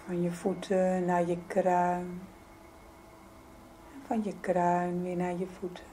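A woman leading a guided yoga nidra meditation in a soothing, gentle, unhurried manner. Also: fairly low pitch, monotone, slight background noise. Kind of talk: guided meditation, calm spoken instruction.

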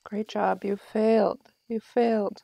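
Woman, game streamer. Soft-edged tone with vocal fry, playful, off-the-cuff commentary to herself and viewers. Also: medium pitch, level tone, a little slow, dry recording. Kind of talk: casual live gameplay commentary.

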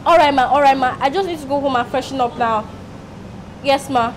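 A young Nigerian woman, played by an actress, speaks in a very high voice as an earnest daughter in a screen drama. There is some noise on the location sound. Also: fairly quick, close to an everyday voice. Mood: polite, grateful. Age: young adult. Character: polite young job seeker, distraught tearful daughter. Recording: noisy location film sound.

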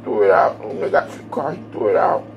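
A woman's voice: very deep voice, lively intonation, fast, dull audio. A woman off camera bluntly chatting back during a family dinner. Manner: blunt, talks back from across the room. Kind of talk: casual chat. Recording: distant, noisy home recording.

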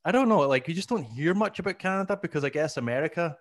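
A man's voice: on the high side, lively intonation, quick pace. Glaswegian man telling rambling stories. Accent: Glaswegian Scottish. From Scotland. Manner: rambling, self-mocking, acts out his past self. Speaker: guest comedian. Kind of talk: casual storytelling interview. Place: small room at home, video call. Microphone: studio mic on boom arm.

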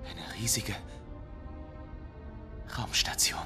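An actor playing an intense young apprentice warrior in a game dub, moving between hushed brooding and forceful outbursts. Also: male voice, low voice, very slow, creaky, music in the background, heavily acted. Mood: quiet, solemn. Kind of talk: dubbed video game cinematic acting. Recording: loud film score underneath.

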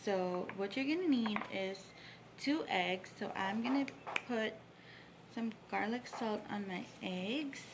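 A woman in her thirties chatting casually through a home cooking and hacks vlog, upbeat and relaxed. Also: mid-range voice, takes it slowly, noisy background. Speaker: lifestyle vlogger. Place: home bedroom and kitchen.

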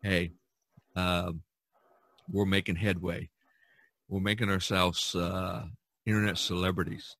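Male podcast host, forceful bright tone, dry close sound, rambling casual chat. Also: deep voice, little pitch movement, takes it slowly, gravelly, pauses often, dry recording. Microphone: video call microphone.

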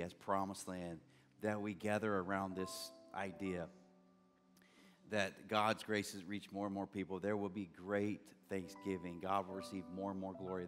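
A middle-aged man, a pastor preaching a conversational sermon from a stage with a handheld mic, earnest and building to emphatic bursts. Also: low-pitched. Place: large church stage. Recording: long reverb of a church hall, faint music.

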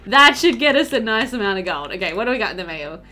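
A woman's voice: medium pitch, expressive, quick pace, sharp tone, soft background music. A young woman doing casual, chatty let's play commentary, thinking aloud as she plays, indoors at a computer. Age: young adult. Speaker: gaming video host.

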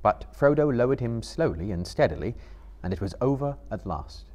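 A man in his thirties giving a live dramatic reading of literary narration in a measured, theatrical manner. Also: medium pitch, animated, darkish tone. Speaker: storyteller, reader. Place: indoor small stage before live audience.

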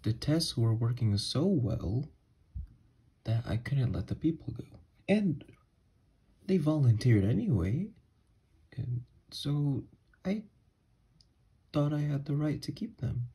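A man playing an obsessed, remorseful escaped mental patient and scientist in a halting, husky ASMR horror roleplay. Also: medium pitch, sing-song, warm tone, exaggerated voice. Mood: regretful, confessional. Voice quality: husky, breathy. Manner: halting, hesitant, confessional.

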